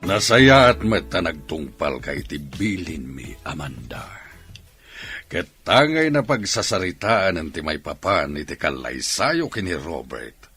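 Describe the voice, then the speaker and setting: Domineering father, very low husky male voice, swooping commanding delivery, radio drama. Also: sing-song, a little slow, faint music behind, exaggerated voice. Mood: stern. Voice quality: husky, forceful. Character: stern, commanding father arranging daughter's marriage. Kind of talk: Ilocano radio drama acting.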